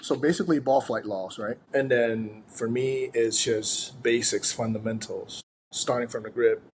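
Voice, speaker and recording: A man's voice: medium pitch, steady tone, a little slow. Man, casual coach, faint noise. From Thailand. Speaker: golf coach, podcast guest. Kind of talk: casual interview chat.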